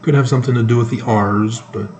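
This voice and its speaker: A man with a North American accent giving informal, sarcastic news commentary, halting often mid-sentence. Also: medium pitch, fairly even intonation, a little mellow. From North America, moved around the United States and Canada. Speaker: solo commentator. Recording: echoey room with faint background noise.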